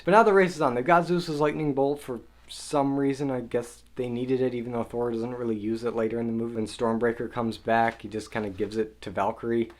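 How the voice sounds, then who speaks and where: A man talking through a spoiler review of a superhero movie, asking a string of questions about the plot and sounding mildly exasperated with it. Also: medium pitch. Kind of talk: spoiler film review monologue.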